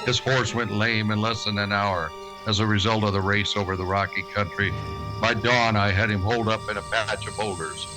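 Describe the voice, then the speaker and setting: A man playing a stern, wry frontier marshal in a western radio drama, steady and unyielding. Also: on the low side, normal speed, music in the background, natural voice, rough recording. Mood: grave.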